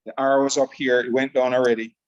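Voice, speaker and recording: An upbeat man talks in a middle-pitched voice, laughing easily and restarting his sentences as he walks people through a trading system. The sound comes through muffled and lo-fi, like an online call. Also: level tone, fast. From Jamaica. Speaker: trading presenter. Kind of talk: online group call presentation.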